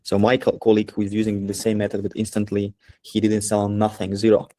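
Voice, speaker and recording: A man in a casual business chat, speaking English as an in halting, agreeable phrases. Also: fairly low pitch, monotone, warm tone, dry recording. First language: not English. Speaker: prospective client.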